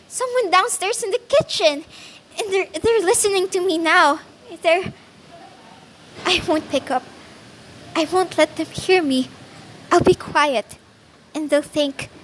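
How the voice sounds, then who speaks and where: A very high, sharp-voiced invalid woman played by an amateur actress in a radio drama. She is fretful, imperious and nervy, pressing her demands anxiously. There is some background noise on the recording. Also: exaggerated voice. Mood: frightened. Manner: fretful, insistent, breaking into panic. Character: anxious, imperious, self-pitying invalid woman alone at night. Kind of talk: radio play acting.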